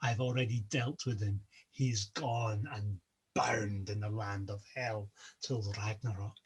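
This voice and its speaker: A man's voice: on the low side, steady tone, a little slow, breathy edge, voice pushed down. A man voicing a scornful, taunting male trickster god at a feast, mocking each guest in turn.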